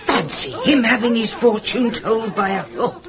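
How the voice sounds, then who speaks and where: A woman's voice: fairly high pitch, expressive, moderate pace, over a noisy crowd, close to an everyday voice. An actress playing a gossipy, theatrical, affectionate British woman, effusive and chatty, in a radio drama. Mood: indignant, gossipy. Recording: dull, lo-fi radio recording.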